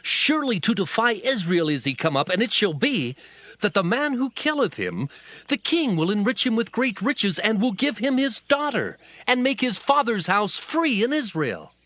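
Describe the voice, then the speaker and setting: An actor playing an alarmed soldier of ancient times passing on news in a dramatized Bible, declaiming with animation. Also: male voice, sits high, very animated, normal speed, bright, forceful tone, no echo, full character voice. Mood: alarmed, excited. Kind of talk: dramatized Bible audio acting.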